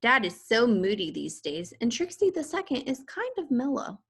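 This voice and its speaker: A woman's voice: a little high, very animated, normal speed, a bit bright, slightly rough, voice pushed up, heavily acted. A woman voicing a patient, innocent, matter-of-fact young boy in a children's storybook. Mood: innocent, casual.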